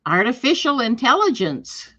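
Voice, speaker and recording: A woman's voice: on the high side, big pitch swings, moderate pace, a bit bright, dry recording, muffled recording. A woman co-host with a Missouri-Texas accent, giving short, easygoing replies in casual chat.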